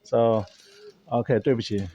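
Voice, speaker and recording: A man's voice: mid-range voice, fairly even intonation, unhurried, mellow, dull audio. A man whose first language is German, hosting and talking casually to a camera held by a friend in a café, explaining earnestly with frequent restarts. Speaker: host.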